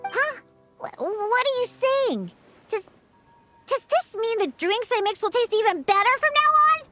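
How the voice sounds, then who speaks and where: A woman's voice: very high voice, a little slow, heavily acted. A woman voicing an earnest, easily flustered, chatty young girl in a video game, with music behind her. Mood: flustered, indignant. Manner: excitable, chatty, indignant. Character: earnest, flustered, high-voiced girl who mixes drinks. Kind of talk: animated video game voice acting.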